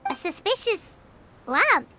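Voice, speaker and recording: A woman voicing a tiny childlike fairy companion in a video game, excitable and greedy, referring to the character in the third person. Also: extremely high pitch, slow, soft background music, exaggerated voice. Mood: curious, puzzled. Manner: speaks of self in third person.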